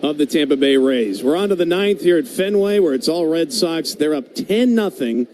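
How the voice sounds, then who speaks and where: A man's voice: on the high side, expressive, moderate pace, darkish tone, slight background noise. A man announcing on a baseball radio broadcast in the style of a formal read and handoff.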